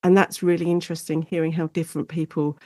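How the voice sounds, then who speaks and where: A woman's voice: low-pitched, little pitch movement, fast talker, warm tone, no room sound, clean studio sound. A British woman, a guest academic, explaining her research in an enthusiastic, chatty, conversational interview style. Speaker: guest academic specialising in audio drama.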